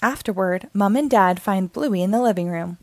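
A woman reading a children's story aloud in an expressive, deliberate narrating style. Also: medium pitch. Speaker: storybook reader.

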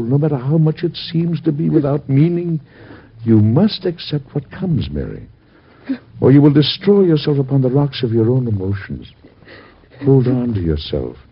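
An actor playing a devout, grieving father and president, husky and gravelly, in a solemn, measured way of talking, from an old radio drama. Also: medium pitch, very animated, muffled recording. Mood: stern. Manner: solemn, measured, gently reassuring.

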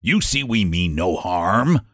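A man's voice: low-pitched, very animated, slow pace, a bit bright, dry recording, clean studio sound, voice pushed down, heavily acted. A jolly storybook pumpkin, acted by a man, coaxes and wheedles like a born ringleader. The delivery swings freely up and down, with a winning, persuasive manner. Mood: coaxing. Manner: coaxing, winning. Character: jolly, persuasive pumpkin leader of runaway vegetables.

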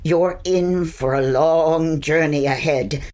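A woman's voice: low-pitched, slow, a little mellow. A woman playing an eccentric older witch, a grandmother in a magical realm, with a theatrical, muttering, exasperated manner in a fantasy audio drama. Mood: grave, explaining. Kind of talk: audio fiction fantasy acting.

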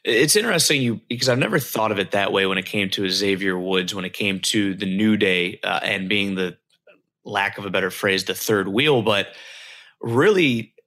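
A male wrestling commentator chatting on a podcast, his voice bright but a little raspy. He rambles excitedly and pokes fun at himself. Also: fairly low pitch, moderate pace. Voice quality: slightly raspy. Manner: excitable, rambling, self-deprecating. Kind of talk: casual wrestling chat.

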